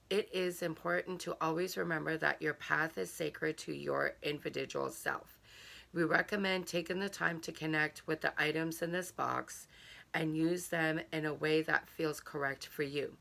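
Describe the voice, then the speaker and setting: Hoarse woman, gravelly and bright, slow halting unboxing chat. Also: low-pitched. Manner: halting, many fillers. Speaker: subscription box reviewer. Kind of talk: casual unboxing chat, reading a card aloud.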